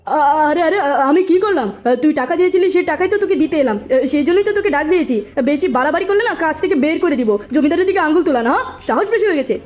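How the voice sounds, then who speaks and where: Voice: high voice, expressive, fast, few pauses. An actor voicing a lecherous, bullying village landlord in a cartoon, leering and threatening, with music behind. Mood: defensive, bullying. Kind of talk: Bengali cartoon voice acting. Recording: music bed under voice.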